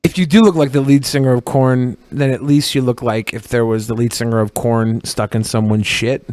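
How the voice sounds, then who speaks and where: A man's voice: medium pitch, fairly even intonation, a little fast, a little mellow, slight background noise. A man co-hosting a podcast with crude, joking, casual banter. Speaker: podcast co-host.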